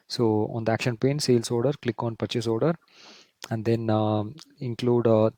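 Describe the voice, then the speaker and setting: A man presenting a screen-share software tutorial, explaining step by step and often repeating himself. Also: mid-range voice, dry recording. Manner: step-by-step, repetitive explaining, often checks with "right?".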